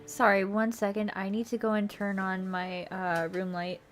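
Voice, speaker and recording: A woman's voice: medium pitch, flat delivery, normal speed. A woman streamer reading a visual novel aloud and chatting casually between passages. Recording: music faintly behind the voice. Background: music.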